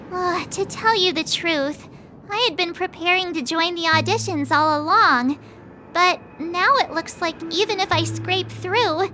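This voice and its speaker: A woman voicing a cheerful, excitable young girl companion in a game who talks in a bubbly, chatty way. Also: extremely high pitch, a little hiss or hum, exaggerated voice. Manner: bubbly, chatty, quick to laugh.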